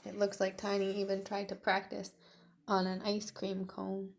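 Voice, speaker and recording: A woman's voice: mid-range voice, monotone, normal speed, vocal fry. A woman teacher doing an interactive read-aloud for young children, speaking encouragingly to her listeners as friends. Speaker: teacher and storyteller.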